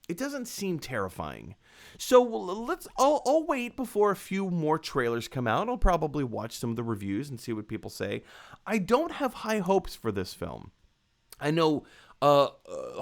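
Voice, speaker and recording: A man with a mid-pitched voice that swings widely up and down as he talks. He sounds like a show host chatting casually with viewers, offering opinions conversationally, repeating points for emphasis and correcting himself along the way. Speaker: host of a live movie discussion show. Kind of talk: casual opinionated movie commentary to live viewers.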